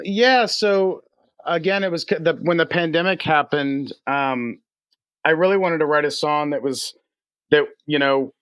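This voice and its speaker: Clear-voiced man from Texas, close and dry. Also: a little high, a bit bright, no room sound. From United States, Texas. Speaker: interview guest, singer-songwriter. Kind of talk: casual interview answers.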